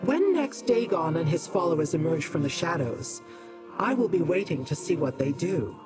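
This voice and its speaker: Video game immortal played by an actor: lofty, imperious, mid-pitched, very varied intonation. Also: very animated, moderate pace, over music, natural voice. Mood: lofty interest. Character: lofty immortal being, condescending toward mortals, intrigued. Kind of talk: scripted video game dialogue.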